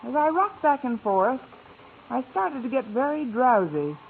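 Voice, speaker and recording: An actress playing a wry, courteous single schoolteacher in a vintage radio sitcom, with a dry delivery. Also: female voice, high-pitched, big pitch swings, a little slow, vocal fry, heavily acted. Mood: drowsy, musing. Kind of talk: 1950s radio sitcom acting and narration. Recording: tinny, hissy old radio recording.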